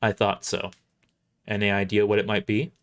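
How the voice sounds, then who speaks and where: A man's voice: fairly low pitch, completely flat delivery, moderate pace, voice pushed down, natural voice. A man playing a blunt, wary space fleet admiral giving commands in a sci-fi audio drama. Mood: stern, wary.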